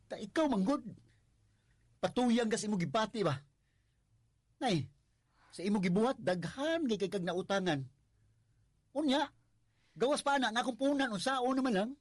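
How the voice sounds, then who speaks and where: An actor with a Visayan Filipino accent playing a worried, devoted husband in a radio drama, sighing and pleading. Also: male voice, sits high, expressive, a little slow, long pauses, no room sound. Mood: pleading, exasperated. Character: worried, long-suffering, devoted husband narrating his story.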